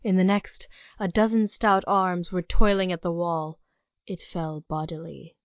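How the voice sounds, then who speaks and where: A woman's voice: on the low side, fairly even intonation, a little slow, dry recording, dull audio. A woman narrator reading scripted material for an audio drama podcast. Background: prominent music.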